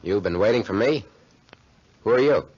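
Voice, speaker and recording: An actor playing a selfish, sneering young American college playboy in a 1940s radio drama. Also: male voice, fairly low pitch, animated, normal speed, darkish tone, husky. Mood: irritated. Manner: sneering, dismissive. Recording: muffled 1940s radio recording.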